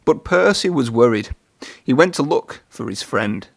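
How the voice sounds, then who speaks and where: A man reading a children's story aloud in an expressive narrating style. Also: mid-range voice, a little slow, gravelly, no echo, studio quality.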